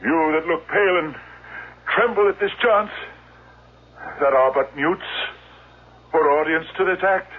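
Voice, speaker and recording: An actor playing a deranged old-school tragedian, declaiming a dying farewell in anguished, halting, theatrical Shakespearean style. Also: male voice, on the high side, husky. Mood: anguished, grandiose. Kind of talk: tragic Shakespearean stage declamation. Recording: muffled, narrow-band vintage radio broadcast.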